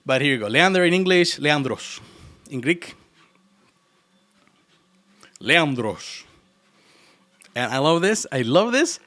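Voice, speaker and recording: A man's voice: fairly high pitch, very animated, slow pace, pauses often, sharp tone. Playful Argentine man chatting on stream. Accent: Argentine Spanish. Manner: playful and teasing, laughs often. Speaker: programming livestreamer. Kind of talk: casual chat with live chat.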